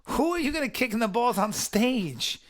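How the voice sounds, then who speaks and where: A man's voice: very high voice, sing-song, normal speed, fairly bright tone. A man co-hosting a comedy podcast, exasperated and interrupting, scolding his guest. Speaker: podcast co-host.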